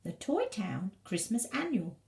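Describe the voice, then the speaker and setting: Woman doing a kids story readaloud, slow pace, super expressive up and down voice, dramatic pauses. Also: medium pitch, gravelly. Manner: animated, with dramatic pauses and emphasis. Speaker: storybook reader. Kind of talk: expressive children's picture book read-aloud.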